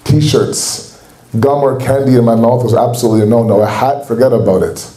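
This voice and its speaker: A man's voice: mid-range voice, fairly even intonation, a little fast, dark tone. A man with a Canadian accent giving an earnest, exhorting sermon at a religious gathering in a community center. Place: large hall at a community center. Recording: echoey hall.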